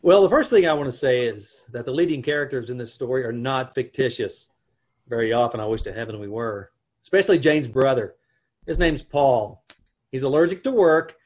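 A man's voice: mid-range voice, brisk, darkish tone, breathy edge, close to an everyday voice. A middle-aged man playing a wry, exasperated husband who wisecracks about his troubles in an old-time radio comedy. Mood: wry, narrating. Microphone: video call.

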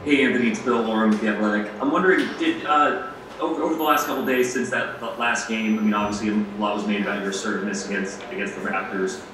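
Male reporter, rapid rephrasing, distant, heavy echo, noisy. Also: lowish voice. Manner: searching, rephrasing repeatedly. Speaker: sports reporter. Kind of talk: press conference question. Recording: distant echoey room with background noise.

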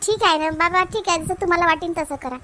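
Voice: extremely high pitch, fast, sharp tone. A performer voicing a dutiful young adult daughter in a family cartoon, with a hesitant, deferential way of speaking. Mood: agreeable.

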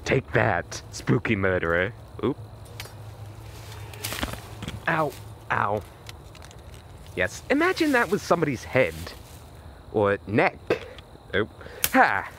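A man's voice: medium pitch, wildly swooping pitch, slow pace, long pauses, noisy background. A man vlogging outdoors in the woods at night on a handheld camera, stammering nervously with repeated words and voice cracks. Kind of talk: mock-scared comedic night vlog.

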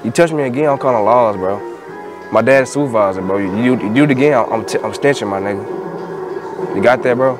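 A man's voice: medium pitch, fairly quick, music in the background. A young American man hosting pranks in casual, slangy banter, often repeating himself. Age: young adult. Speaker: prank show host. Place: outdoor parking lot and inside a large store.

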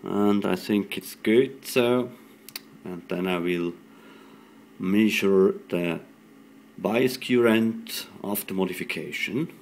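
Slow, low-voiced man giving a technical tutorial. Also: darkish tone, slight background noise. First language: not English. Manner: halting, short phrases. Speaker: electronics hobbyist demonstrating a radio modification. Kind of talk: hands-on technical tutorial, improvised. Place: indoors at a workbench.

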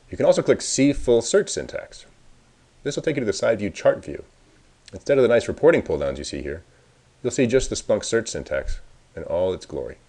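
A man's voice: low voice, darkish tone, breathy edge. A man narrating a casual screencast tutorial as the presenter, informally thinking aloud as he works. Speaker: software presenter giving a demo.

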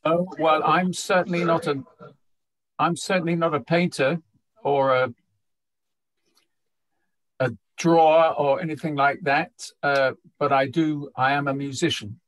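Slow, halting man thinking aloud. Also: a little high, steady tone, a bit bright, rough recording. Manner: halting, searching for words. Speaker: poet answering questions. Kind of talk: informal reflective talk. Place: indoors at home, on a group video call. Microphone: video call.

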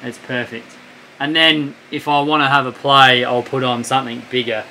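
A man's voice: medium pitch, steady tone, normal speed, bright, forceful tone, slight background noise, rough recording. A young man giving a casual, joking tour of his sailing catamaran, explaining the gear plainly. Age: young adult. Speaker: sailing vlogger. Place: on a sailing catamaran, deck and cabin.

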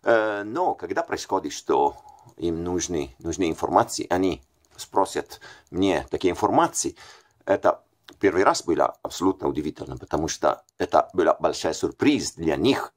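A man's voice: deep voice, lively intonation, normal speed. A man in his forties with an Italian accent, talking casually to camera and hesitating often with fillers and self-corrections. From Italy. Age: 40s. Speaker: language learner vlogger. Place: small bright room indoors.

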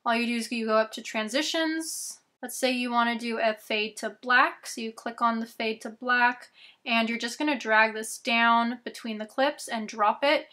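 A young woman in her twenties who is losing her voice, walking viewers through video editing steps slowly and clearly from a small bedroom. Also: a little high, steady tone, sharp tone. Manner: slow, step-by-step instructions, chatty asides. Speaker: YouTube tutorial host. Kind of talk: screen-recorded software tutorial.

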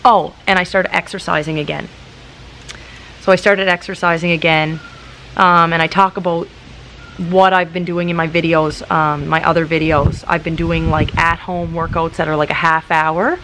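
A woman in her late thirties talking calmly and practically to camera, chatty and casual, recorded outdoors in a garden with some wind. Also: deep voice, moderate pace, rough recording. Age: late 30s. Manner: calm, practical, chatty with many likes. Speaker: YouTuber. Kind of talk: casual vlog talk to camera. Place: outdoors in a garden in front of hedges.

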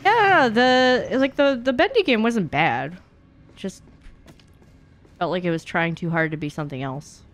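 A woman's voice: medium pitch, lively intonation, unhurried, pauses often. A young woman giving casual live gaming commentary in a playful, slangy, chatty way. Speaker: streamer. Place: indoor streaming room. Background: horror game sounds.

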